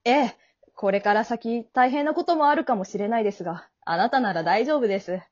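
A courteous, kindly reaper played by a woman guides a soul in an amateur radio drama. The voice sits fairly high and stays businesslike yet earnest and reassuring. The recording is a little dull and lo-fi. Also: fairly quick, natural voice. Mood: gentle, reassuring. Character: polite, kindly male guide of the afterlife, secretly an older brother.

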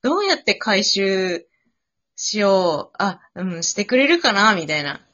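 A woman hosts a casual after-show chat. Her voice is bright and her intonation moves around a lot. The sound is slightly dull and lo-fi. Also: mid-range voice, very animated, measured pace. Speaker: radio show host. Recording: dull lo-fi online audio.

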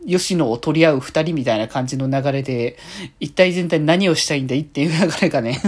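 A man hosting a solo podcast, chatting casually through his thoughts on an anime episode in a polite but conversational way, often trailing off into soft sentence-end particles. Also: a little high, a little fast.

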